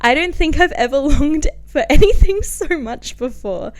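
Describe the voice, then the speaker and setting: A woman in her twenties with an Australian accent, hosting a podcast in a casual, confessional, self-mocking and animated way as she tells stories from her own life. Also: high-pitched, normal speed. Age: 20s. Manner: animated, self-mocking, giggly asides, frequent "like". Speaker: podcast host. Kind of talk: casual, confessional podcast storytelling and diary reading.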